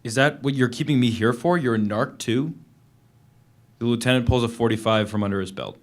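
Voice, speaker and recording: A man's voice: medium pitch, fairly quick, close to an everyday voice. An actor playing a guarded, defiant prisoner, narrating his journal tersely in a dramatic reading of a novel. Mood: wary defiance. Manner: plain, guarded, terse storytelling in present tense.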